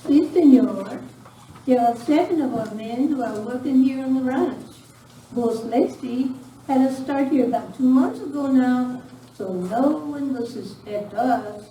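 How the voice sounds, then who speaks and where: A woman's voice: high-pitched, unhurried, mellow. An amateur actress playing a cold Mexican woman bandit informant in a live radio western. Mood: businesslike, scheming. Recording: noisy, echoey room, distant miking.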